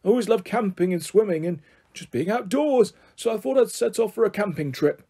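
A man's voice: high voice, expressive, brisk, gravelly, mellow, breathy edge, voice pushed up, exaggerated voice. A man voicing a muddled, forgetful old grandfather in a children's storybook, rambling through eccentric notions. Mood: muddled, wistful.

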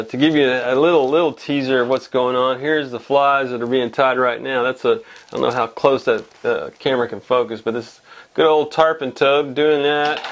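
A man's voice: medium pitch, vocal fry. A man in his forties hosting his own fly fishing show, talking casually to camera from his fly tying room with lots of uh fillers and restarts, a little under the weather. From Texas. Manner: rambling, many uh fillers and restarts. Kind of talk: casual weekly update to camera.

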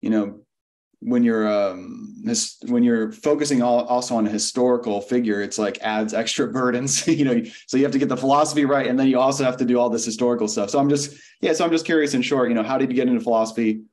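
A man's voice: medium pitch, fast talker, darkish tone. A young man interviewing a guest over a video call, asking long, rambling questions full of fillers. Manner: rambling, many fillers and hedges.

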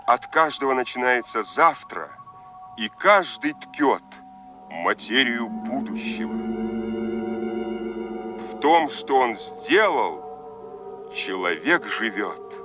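An actor playing a grave voice from the future, solemn and measured, in Soviet radio drama style. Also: male voice, medium pitch, sing-song, over music. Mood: solemn, exalted.

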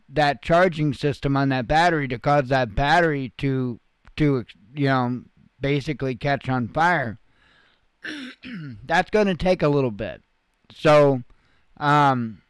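A man's voice: a little high, smooth, no echo. A man giving informal news commentary as a channel host, explaining things in a folksy, plain-spoken way.

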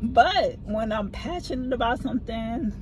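A middle-aged woman telling a story to her phone camera from a parked car, lively and gesturing as she talks, with a low voice that some people find hard to hear. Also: measured pace, over music, rough recording. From family from the American South. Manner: animated, talks with her hands. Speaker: vlogger. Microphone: phone camera mounted in front of her.

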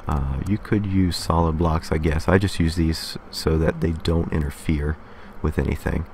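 A man's voice: a bass voice, fairly even intonation, normal speed, darkish tone, a little hiss or hum. A man hosting a casual game building tutorial, explaining methodically step by step and often checking in with a short okay. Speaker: tutorial host.

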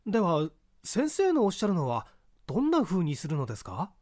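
A deferential, inquiring pupil in a mystery audiobook, played by a male reader. The voice is lifted well above a usual male register, with very lively intonation and a dry, close sound. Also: high-pitched, fairly quick, dry recording, voice pushed up. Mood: curious, questioning. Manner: polite, questioning. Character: inquiring, deferential junior listener questioning a professor. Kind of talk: dramatic audiobook reading of mystery fiction.

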